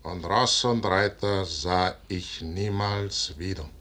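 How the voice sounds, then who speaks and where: Fatalistic commander, classical radio drama, slow, low-pitched, declamatory, solemn, proud. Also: male voice, pushed and bright, heavily acted. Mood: hushed, intense. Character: proud, fatalistic, brooding male general of middle age.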